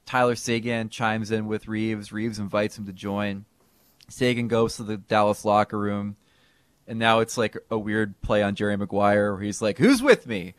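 A man co-hosting a sports podcast, talking in a casual, animated way with bursts of emphasis. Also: lowish voice, normal speed, dry recording. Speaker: podcast co-host.

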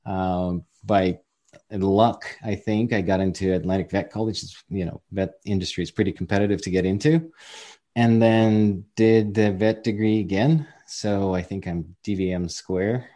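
A man's voice: low voice, fairly even intonation, normal speed, warm tone. A man in his forties from Ukraine with a Ukrainian accent, telling his career story as a guest in a casual interview, chatty and self-deprecating. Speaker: guest entrepreneur.

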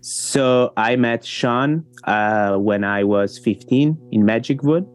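Slow-talking young man. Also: medium pitch. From Switzerland. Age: 20s. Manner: shy, laughs, brief hesitant answers. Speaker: climber, guest.

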